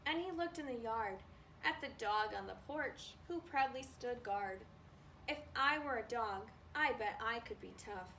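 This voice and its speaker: A woman reads a children's story aloud in a high, very bright voice. She takes her time and is expressive with the text. She sounds a little way from the microphone, with faint noise behind her. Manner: expressive, acts out animal noises. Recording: distant mic with faint background noise.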